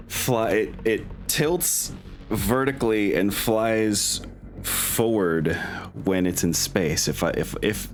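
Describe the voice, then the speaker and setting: A man acting as game master, chatting and narrating casually, haltingly thinking aloud as he goes. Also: sits low. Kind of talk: casual tabletop roleplay narration and chat.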